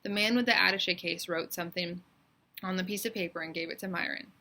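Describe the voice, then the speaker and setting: Woman, lower-pitched, bright forceful tone, deliberate pacing of suspense, expressive children's storybook narration. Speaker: teacher reading aloud.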